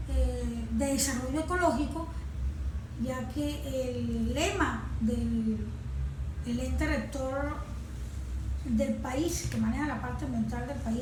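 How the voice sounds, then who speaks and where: A Venezuelan woman answering as an interview guest, explaining proposals in a halting way with restarted phrases. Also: high-pitched. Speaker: interview guest, organization representative. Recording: very echoey room, clear background noise.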